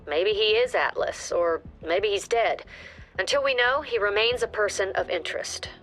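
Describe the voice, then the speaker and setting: A woman playing an authoritative squad commander, giving measured, clipped briefings in a video game. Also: medium pitch, fairly bright tone, close to an everyday voice. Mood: composed, authoritative. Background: prominent music.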